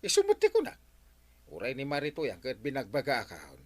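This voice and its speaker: A man's voice: medium pitch, wildly swooping pitch, quick pace, dry recording. A man acting an exasperated village captain in an Ilocano radio comedy drama, scolding and giving orders. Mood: firm, calmer. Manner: scolding, commanding. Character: exasperated male village captain breaking up quarrels.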